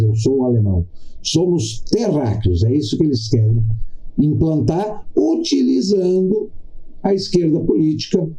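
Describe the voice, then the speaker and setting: A middle-aged Brazilian man giving a political commentary monologue in a deliberate, didactic way, stressing points with repetition and pauses. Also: a little high, warm tone, rough recording. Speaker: podcast host.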